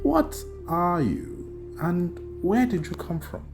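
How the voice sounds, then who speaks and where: A man voicing a curious, cautious male shapeshifting raven creator in a storybook tale, speaking deliberately. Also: sits high, big pitch swings, creaky, pitched above the performer's own voice, heavily acted. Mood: puzzled, curious. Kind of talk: storybook character voice. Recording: music under the voice.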